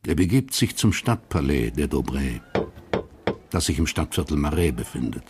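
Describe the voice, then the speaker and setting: Deep-voiced male radio drama narrator. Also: sing-song, background noise. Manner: measured, literary storytelling.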